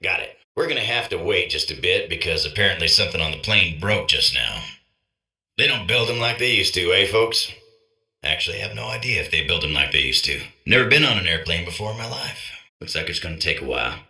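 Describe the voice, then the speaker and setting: Bumbling airline pilot, low voice, very bright forceful tone, folksy, casual, comedic game announcement. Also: male voice, sing-song, a little fast, gravelly, roomy sound, heavily acted. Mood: breezy, bumbling. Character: clueless, breezy male airplane pilot addressing passengers.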